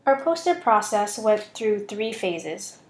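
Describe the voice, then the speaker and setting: A woman's voice: medium pitch, big pitch swings, slow, a little mellow. Student presenter, young American female, mid-range voice, scripted slideshow narration. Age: young adult.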